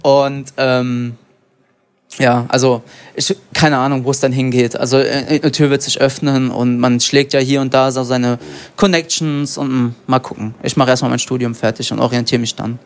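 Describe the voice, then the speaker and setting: A young man from Germany, an MMA fighter and guest, answering in a relaxed, rambling way with lots of fillers. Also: medium pitch, moderate pace, clear, resonant tone. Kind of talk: casual interview.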